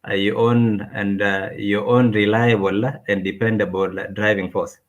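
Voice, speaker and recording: Male guest, low voice, halting, lots of uh, deliberate. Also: flat delivery. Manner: hesitant, many "uh" fillers, deliberate. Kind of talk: conversational interview.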